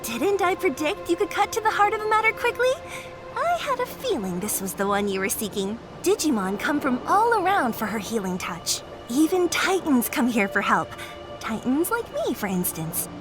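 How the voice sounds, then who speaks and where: A woman playing a perky, outgoing female monster ally in a video game, bubbly and theatrical. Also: sits high. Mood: playful. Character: perky, outgoing female Digimon, a helpful moderate Titan. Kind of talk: English video game voice acting.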